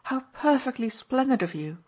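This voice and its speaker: An excitable society matron bursts out in a high, ringing voice, as a woman narrator puts her on. She gushes over every word. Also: moderate pace. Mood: gushing. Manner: exclaiming, gushing.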